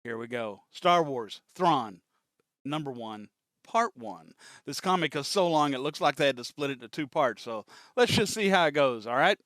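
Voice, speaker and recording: A man's voice: medium pitch, expressive, moderate pace, fairly bright tone, dry recording. A man hosting a reaction show, chatting casually in an easygoing way.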